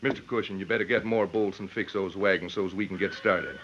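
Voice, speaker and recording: An actor playing a gruff, scheming outlaw posing as a sergeant, with an American accent, in old radio western style. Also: male voice, low voice, steady tone, brisk, a bit bright. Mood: suspicious, bullying. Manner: gruff, brusque, suspicious. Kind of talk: 1940s-50s western radio acting. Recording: dull, noisy old radio recording.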